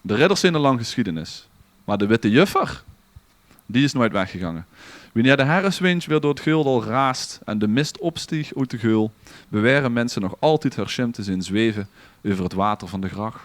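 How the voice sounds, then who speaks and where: Man telling a tale with expressive pitch. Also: normal speed, no echo. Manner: relaxed, theatrical storytelling delivery. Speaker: podcast host. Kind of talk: dramatic read-aloud storytelling.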